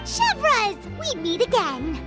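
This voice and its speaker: A woman's voice: very high voice, wildly swooping pitch, takes it slowly, over loud music, full character voice. A woman voicing a tiny, cheerful, curious floating fairy companion in a video game, childlike and speaking of herself in the third person. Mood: excited. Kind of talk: video game character acting.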